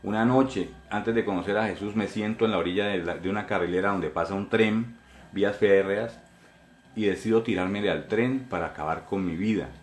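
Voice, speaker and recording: A man's voice: lowish voice, fairly quick, reverberant. A man in his forties with a Colombian accent, a pastor giving an earnest testimony in a sermon, addressing listeners directly and often repeating himself. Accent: Colombian Spanish. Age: 40s.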